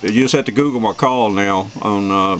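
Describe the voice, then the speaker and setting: A man's voice: fairly low pitch, moderate pace, fairly bright tone. A middle-aged or older man from the United States chatting casually and in a friendly, folksy way as an amateur radio operator. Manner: friendly sign-offs, folksy. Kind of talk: casual radio contact chat. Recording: noisy radio shack recording.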